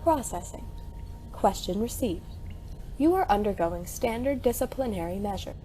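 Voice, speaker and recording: A woman playing a robotic corporate orientation system in an audio drama, monotone and bureaucratic, with stilted procedural politeness. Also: mid-range voice, moderate pace, faint music behind, close to an everyday voice. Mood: flatly polite. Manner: stilted, procedural, scripted announcements.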